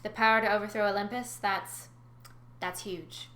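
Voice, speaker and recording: A woman voicing an intense, ambitious teenage heroine from a storybook, halting and awed. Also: mid-range voice, steady tone, a bit bright, natural voice. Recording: roomy echo, faint background noise.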